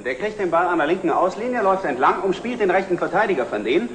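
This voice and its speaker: An actor playing an excitable working man telling a lively story in a 1960s German TV drama. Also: high voice, quick pace. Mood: excited, amused. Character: excitable working man chatting about football. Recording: echoey, noisy old TV soundtrack.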